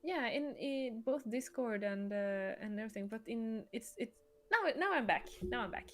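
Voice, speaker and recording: High-voiced woman hosting a casual livestream. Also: expressive, normal speed, a little hiss or hum. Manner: giggly, informal. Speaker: livestream host, game creator.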